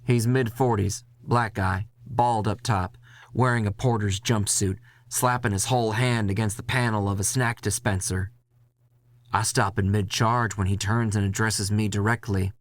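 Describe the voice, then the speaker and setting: A man reading a dramatic first-person horror story in a measured, intense way, with music behind him. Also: on the low side, steady tone.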